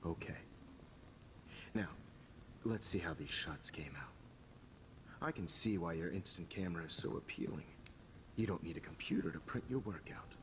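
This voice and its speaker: An actor playing a smug, menacing teacher and photographer villain in a video game, with a calm, condescending, gloating delivery. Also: male voice, sits low, moderate pace, long pauses, a little hiss or hum, low-quality recording. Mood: cold, condescending. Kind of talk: dramatic video game acting.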